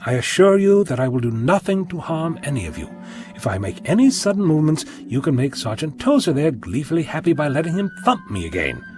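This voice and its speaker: Man acting eccentric genius hero, audio drama, wry, articulate, animated intonation, slightly high male voice. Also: fairly quick, music in the background. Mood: placating, reassuring.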